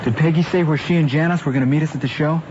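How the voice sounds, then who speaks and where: A man's voice: a little high, very fast, natural voice. An American man acting an earnest, mild-mannered teenage boy chatting in a 1950s radio drama. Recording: muffled old radio recording, noisy background.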